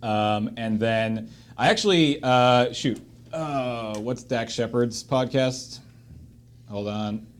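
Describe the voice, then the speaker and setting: A man's voice: medium pitch, takes it slowly. A man chatting casually as he moderates a group discussion, hedging and correcting himself as he goes. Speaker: discussion moderator on a charity livestream.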